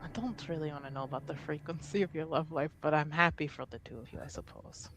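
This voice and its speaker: A woman's voice: deep voice, quick pace, fairly bright tone. A woman player speaking casually in character in a tabletop roleplaying game, teasing and wry.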